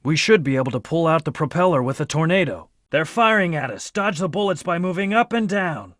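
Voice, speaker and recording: A man's voice: a little high, expressive, a little fast, a bit bright, no echo, clean studio sound. A man playing a brooding, proud young antihero in a video game, speaking in terse, serious commands with a hard edge. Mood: urgent, alert. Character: brooding, proud, amnesiac male antihero warrior. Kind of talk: short in-game hint and battle lines.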